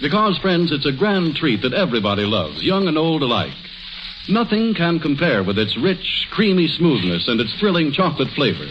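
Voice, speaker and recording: A man's voice: on the high side, big pitch swings, moderate pace. A man announcing and selling in a 1940s radio advertisement, polished and emphatic. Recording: hissy muffled old radio broadcast.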